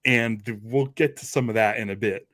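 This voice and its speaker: A man's voice: on the low side, normal speed, a bit bright. A man chatting informally as a podcast panelist, talking in a loose, conversational way. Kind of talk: casual chat about a radio drama.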